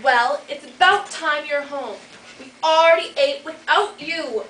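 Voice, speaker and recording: A woman's voice: high voice, unhurried, far from the mic. A teenage girl playing a blunt male dwarf in an amateur school fairytale play. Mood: grumpy. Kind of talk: amateur school readers' theater. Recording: echoey hall, loud crowd noise.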